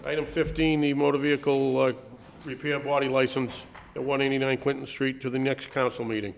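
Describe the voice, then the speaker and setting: A man's voice: mid-range voice, flat delivery, fairly quick, creaky, slight background noise. A middle-aged man speaking formally as a council president, running a public hearing by procedure. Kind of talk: formal procedural meeting talk. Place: large council chamber.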